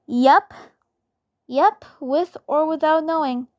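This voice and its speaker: An excitable, affectionate cartoon girl played by a woman in a fan dub. The voice is high and the pace slow, stumbling and repeating phrases. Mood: excited.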